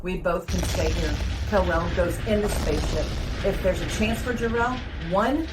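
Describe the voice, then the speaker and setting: A woman's voice: fairly low pitch, normal speed, background noise, close to an everyday voice. A woman playing a frightened, pleading alien mother in old-time radio melodrama. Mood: pleading, alarmed. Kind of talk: 1940s radio acting.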